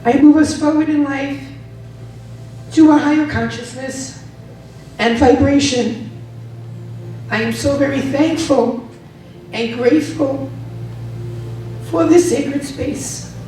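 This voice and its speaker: A hoarse woman giving an earnest, grateful spoken prayer during a church service. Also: high voice, takes it slowly, pauses often, mellow, slight background noise. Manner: earnest, effusive gratitude. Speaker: church member leading a prayer. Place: church sanctuary. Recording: echoey room, distant mic.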